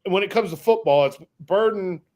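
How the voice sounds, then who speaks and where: A man in his forties co-hosting a sports podcast, talking like an excited fan with casual slang. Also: high voice, moderate pace. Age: 40s. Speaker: podcast co-host, coach.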